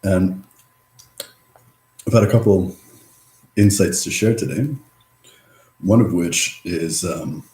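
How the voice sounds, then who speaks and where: A man with a very low voice talks slowly through a reflective, rambling monologue. He mumbles and drifts, leaving long gaps between phrases. The sound is roomy, with clear echo around him. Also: dark tone. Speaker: vlogger.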